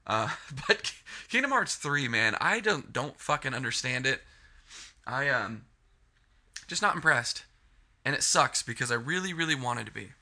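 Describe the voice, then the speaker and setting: A man's voice: mid-range voice, sing-song, moderate pace, pauses often, sharp tone. Sniffly, swearing man ranting about games. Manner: frustrated, rambling, heavy swearing. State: sniffly from a cold. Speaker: video game reviewer. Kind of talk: casual ranting game review.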